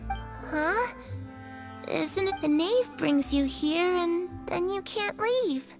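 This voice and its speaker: A woman voicing an innocent, confused young ghost girl in a video game, speaking in a soft, childlike, wistful manner. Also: very high voice, animated, takes it slowly, music in the background, heavily acted. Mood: wary, hushed.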